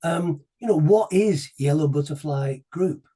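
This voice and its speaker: A man hosting an interview, talking in a halting way with frequent repeats and throat-clearing. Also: a little high, mellow. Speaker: interview host. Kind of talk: conversational interviewing.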